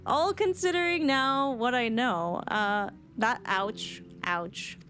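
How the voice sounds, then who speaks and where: A woman's voice: fairly high pitch, slow pace, fairly bright tone, faint music behind. A woman giving casual live commentary on a video game, emotive and informal, rambling and sweary. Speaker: streamer reacting to game cutscenes.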